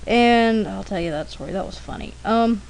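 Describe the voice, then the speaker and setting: A woman's voice: mid-range voice, darkish tone, a little hiss or hum, low-quality recording. A woman giving casual, rambling, anecdotal commentary as an artist, in a chatty, informal manner. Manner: chatty, informal, frequent throat clearing. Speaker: artist narrating a drawing demonstration.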